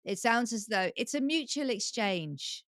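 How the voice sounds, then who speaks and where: A woman's voice: fairly high pitch, expressive, unhurried, dry recording, clean studio sound. A woman hosting a podcast interview, affirming her guest's answers and asking questions. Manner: warm, affirming, asks questions. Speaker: podcast host.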